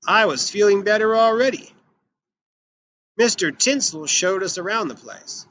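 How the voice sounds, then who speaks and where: Male children's storybook reader, higher-pitched and bright, expressive and emphatic delivery. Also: measured pace, a little hiss or hum. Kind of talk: expressive read-aloud of a picture book for children.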